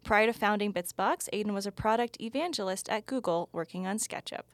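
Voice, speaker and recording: A woman's voice: mid-range voice, a little fast, dry recording. A woman hosting a startup podcast, reading the intro and interviewing a guest in an upbeat, easy manner. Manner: upbeat, laughs easily. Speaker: podcast host.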